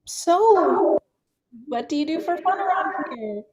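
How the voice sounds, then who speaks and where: A woman's voice: high voice, expressive, slow, highs cut off. A woman playing a timid, curious young woman patient in a staged play reading, on a very noisy recording with clicks. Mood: tentative.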